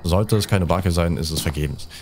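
Low-voiced man commentating a game. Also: fairly quick, background noise. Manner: gamer slang sprinkled with English phrases. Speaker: let's play streamer. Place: indoors, seated close at a desk. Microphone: desk microphone at close range.

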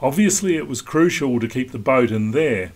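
Calm man narrating a sailing voice-over. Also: medium pitch, moderate pace. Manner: calm, instructive. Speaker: sailing vlog host. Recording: wind noise on deck.

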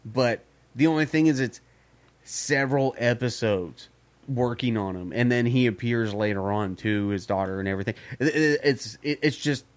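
A man's voice: mid-range voice, moderate pace. A man co-hosting a podcast, rambling and swearing in casual chat about comics, often restarting his sentences. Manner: rambling, profane, many restarts. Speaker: podcast co-host.

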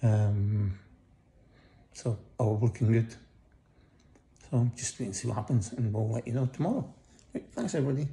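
A middle-aged man with a croaky voice, lying in a hospital bed and casually giving a personal update into a phone held close above him, tired and pausing often. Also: mid-range voice, dark tone. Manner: lying in bed, tired, talking slowly with many pauses. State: croaky voice after breathing tubes. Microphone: phone held close above face.

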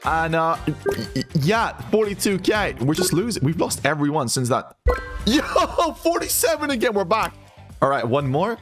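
A hoarse man commentating his online gambling in a casual, excitable way, calling his viewers guys and bro. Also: fairly high pitch, moderate pace, over music. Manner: excitable, shouts and laughs at big wins. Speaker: gambling streamer.

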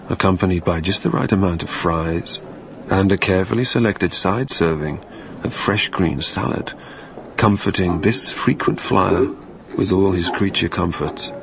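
A man playing a weary business traveller, narrating a radio drama in a measured, wry way. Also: sits low, a little hiss or hum. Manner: measured, wry, repetitive phrasing. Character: weary, flight-fatigued businessman narrating his haunted night.

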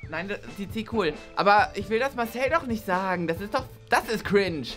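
A man's voice: high-pitched, animated, normal speed, over loud music. A young man playing a goofy, pushy, whiny schoolboy in an improvised classroom sketch. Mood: goofy, reluctant. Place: small classroom-like office indoors.

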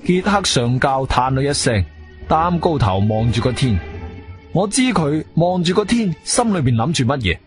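Inquisitive sci-fi investigator, lively swooping delivery, slightly high voice, Guangzhou Cantonese accent, self-assured radio drama storyteller. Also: male voice, moderate pace. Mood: reflective narration. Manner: expressive, theatrical storytelling. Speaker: narrator and lead actor. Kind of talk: Cantonese radio drama, first-person narration and dialogue. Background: music.

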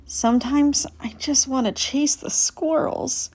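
A woman voicing an eager young male puppy in a storybook, narrating in an earnest, childlike, expressive way. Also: high voice, slow, pitched above the performer's own voice. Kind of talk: storybook read-aloud.

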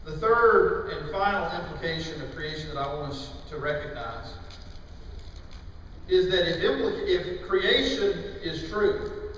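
A middle-aged man preaching a sermon in a church, with emphatic, deliberate phrasing broken by pauses. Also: fairly high pitch, expressive, a bit bright. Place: large church auditorium, indoors. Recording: distant, very echoey hall with faint noise.

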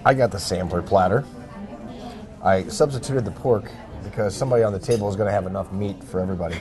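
A man's voice: sits low, fast talker. A man in his forties on a lapel mic, hosting a TV food segment with playful teasing jokes. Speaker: TV host. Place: large busy restaurant dining room. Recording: restaurant crowd chatter and music behind.

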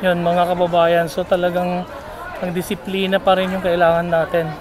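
A man's voice: sits high, monotone, normal speed. A Filipino man talking casually while walking a crowded outdoor street market, rambling with many fillers, filmed on a phone held at arm's length. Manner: chatty, rambling with frequent fillers. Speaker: vlogger. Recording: outdoor phone video, loud crowd noise.

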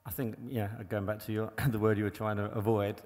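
Male panel guest, low-ish voice, loose rambling delivery, long reverb of a large venue. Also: level tone, a little fast, a little mellow. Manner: rambling, many "you know" and restarts. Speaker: bike engineer and former pro racer. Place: large hall on stage before an audience.

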